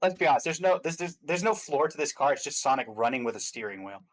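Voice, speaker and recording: A man's voice: a little high, lively intonation, quick pace. A man chatting casually and giving his opinions as a guest commentator on games. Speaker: guest commentator on game awards stream.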